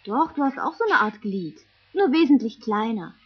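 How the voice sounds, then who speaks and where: A woman's voice: a little high, big pitch swings, normal speed, slightly rough. A woman playing a patient, frank, affectionate mother in an educational radio play for children, explaining things plainly on a lo-fi tape. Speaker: actor in a children's audio play. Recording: dull lo-fi tape recording.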